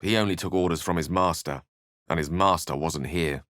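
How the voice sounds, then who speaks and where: A man's voice: sits low, moderate pace, a bit bright, no room sound, clean studio sound. A man voicing a refined, witty wizard for a video game, speaking in an articulate, theatrical, wry way. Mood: grave storytelling. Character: eloquent, witty, refined human male wizard. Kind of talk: video game character voice lines and narration.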